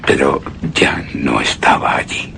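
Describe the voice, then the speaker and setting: A tormented, haunted keeper of a deathwatch in a horror radio drama. His deep voice moves very slowly and haltingly, with a hard, tense edge. The delivery is hushed and theatrical. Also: creaky, slight background noise, heavily acted. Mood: brooding, haunted. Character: tormented male narrator keeping deathwatch over a shrouded woman. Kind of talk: Spanish-language suspense and horror radio drama monologue.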